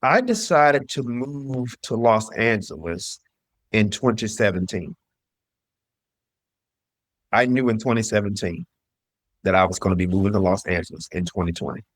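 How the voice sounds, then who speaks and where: A middle-aged man from the southern US shares his story in an interview. His voice sits on the low side, recorded very dry. He talks emphatically, repeating phrases and quoting his own past thinking. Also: lively intonation, normal speed, pauses often, no room sound. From southern US (Mississippi, North Carolina). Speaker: interview guest, entrepreneur.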